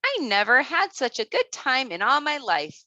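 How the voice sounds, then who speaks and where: A woman's voice: high-pitched, very animated, moderate pace, sharp tone, no echo, muffled recording, voice pushed up, heavily acted. A woman voicing a tiny storybook girl, sweet, eager and well-mannered. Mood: grateful.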